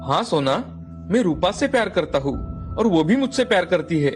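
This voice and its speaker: Young storybook groom, played by a man: lovelorn, dramatic, raised male pitch, faint music behind. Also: big pitch swings, normal speed. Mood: confessing. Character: startled young bridegroom in love with another woman.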